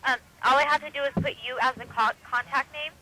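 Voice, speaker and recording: A woman telemarketer giving a phone sales pitch in an informal, persistent manner. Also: fairly even intonation, quick pace, bright, forceful tone. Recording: noisy telephone line.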